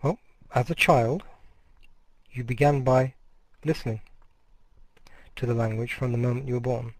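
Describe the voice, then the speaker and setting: A man's voice: mid-range voice, steady tone, moderate pace, no echo. A middle-aged man lecturing to university students, explaining step by step and sometimes restarting a word mid-phrase. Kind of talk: recorded course lecture.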